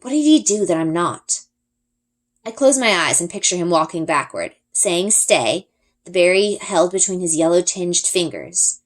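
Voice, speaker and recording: A woman reading a children's story aloud as an expressive first-person narrator. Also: on the low side, normal speed. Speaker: reader.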